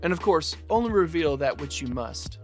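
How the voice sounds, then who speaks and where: A man's voice: a little high, expressive, moderate pace, soft background music, close to an everyday voice. A young man acting as a stubborn game master in a naturalistic audio drama. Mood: theatrical, playful.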